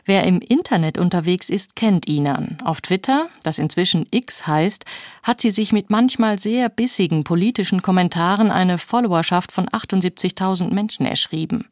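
A woman's voice: lowish voice, moderate pace, a little mellow, no echo, clean studio sound. A woman presenting a scripted introduction on a radio book programme.

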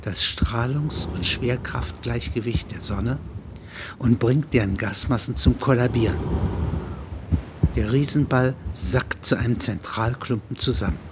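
A man narrates a literary audio drama in a mid-range voice. His delivery is measured and matter-of-fact, reading from a script. The recording is noisy, with small clicks. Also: medium pitch.

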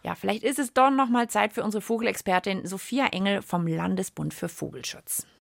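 A woman's voice: mid-range voice, brisk, gravelly, no echo, clean studio sound, faint music behind. A woman hosting a children's science podcast in a chatty, colloquial, casual way. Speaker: podcast host.

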